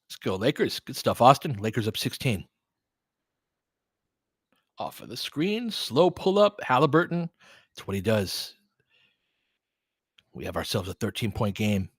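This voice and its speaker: A man's voice: on the low side, big pitch swings, a little slow, pauses often, husky, no room sound, studio quality. A man doing casual live basketball play-by-play as a stream host, speaking conversationally in short, clipped phrases. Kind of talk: casual live basketball commentary with fan chat.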